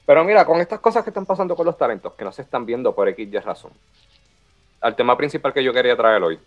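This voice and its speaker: A man's voice: medium pitch, expressive, quick pace, highs cut off, soft background music. A man, hosting a casual wrestling chat and steering it to the next topic.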